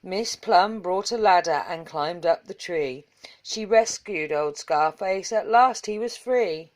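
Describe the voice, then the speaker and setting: A woman reading a children's storybook aloud in measured, rhythmic verse. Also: fairly low pitch. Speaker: story reader.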